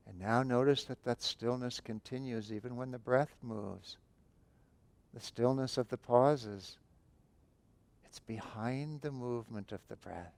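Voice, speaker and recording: A man guiding a breathing meditation, giving calm, unhurried instructions with long pauses between phrases. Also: medium pitch, vocal fry, no room sound. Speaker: meditation teacher.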